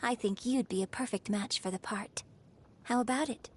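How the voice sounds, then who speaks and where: A woman's voice: a little high, normal speed, darkish tone, breathy edge. A woman playing a refined, gracious young opera singer and troupe director in a video game, with elegant, formal, poetic phrasing. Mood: inviting. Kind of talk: video game character voice lines.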